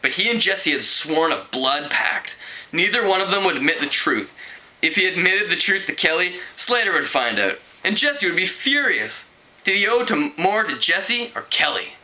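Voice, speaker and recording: A man narrates a storybook aloud in an expressive amateur style. His voice is fairly high and very bright, with a forceful edge and a mock-dramatic delivery. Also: moderate pace, poor audio. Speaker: amateur book reader.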